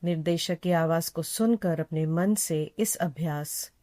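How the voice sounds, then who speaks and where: Low-pitched woman guiding meditation, calm and unhurried. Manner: calm, unhurried, soothing, with repeated phrases. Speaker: yoga nidra instructor. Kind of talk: slow guided meditation instruction.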